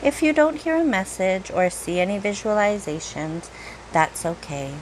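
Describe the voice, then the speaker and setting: A woman leading a guided meditation in a gentle, unhurried manner, leaving long pauses between phrases. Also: medium pitch, very animated, slight background noise, poor audio. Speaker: meditation guide, reiki teacher. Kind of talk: guided meditation, slow soothing narration.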